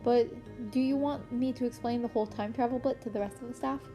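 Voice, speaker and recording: A blunt, nonchalant fifteen-year-old boy from an audio story, voiced by a woman. The delivery is offhand and cheeky, with a mellow tone. Music plays quietly behind. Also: a little high, steady tone, quick pace, pitched above the performer's own voice, close to an everyday voice. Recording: music playing under the voice.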